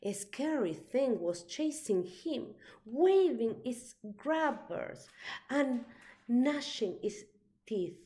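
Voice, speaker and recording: Animated woman reading a children's story slowly. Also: medium pitch. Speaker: storybook reader. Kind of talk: expressive read-aloud of a children's picture book.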